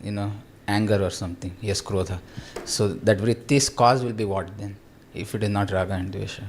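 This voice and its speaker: Faint noise sits behind a male student with a fairly low voice. He reasons aloud tentatively, posing analytical questions about scripture. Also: steady tone, brisk. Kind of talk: probing scriptural discussion.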